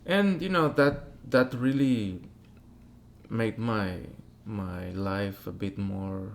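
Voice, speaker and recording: A man's voice: fairly low pitch, takes it slowly, a little hiss or hum. A man with a Mexican Spanish accent, a native Spanish speaker and opera tenor, talking reflectively as a guest in a casual interview. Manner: reflective, acts out quoted voices.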